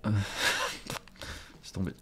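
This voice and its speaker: A man's voice: extremely slow, pushed and bright, soft background music, low-quality recording. A French-speaking man casually commenting on his own gameplay, chatty and self-mocking, swearing under his breath. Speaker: gaming streamer. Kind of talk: casual let's play commentary.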